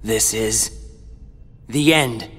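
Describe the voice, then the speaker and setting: A determined, brooding teenage warrior in a video game. The voice is husky and breathy, unhurried, and moves expressively in pitch. Music plays softly underneath. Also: male voice, heavily acted. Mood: resolute. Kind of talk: video game cutscene acting.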